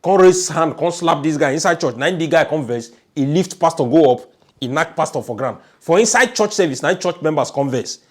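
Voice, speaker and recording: Man, Nigerian accent, high-pitched, swooping intonation, informal news commentary, emphatic repetition. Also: brisk. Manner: animated, emphatic, repeats phrases.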